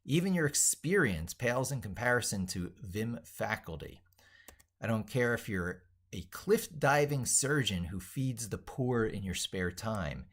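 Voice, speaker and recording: A man with a fairly low voice talks through an opinionated tech monologue as an educator. He states things emphatically, then repeats and reworks the wording as if composing it out loud. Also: lively intonation, unhurried. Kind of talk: drafting and reading aloud an opinionated monologue while typing.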